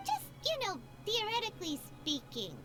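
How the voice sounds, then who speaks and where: A woman voicing a tiny, childlike, excitable fairy companion in a video game, with a squeaky, babyish voice and open, exclamatory reactions. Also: normal speed, music in the background, full character voice. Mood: awkward, sly.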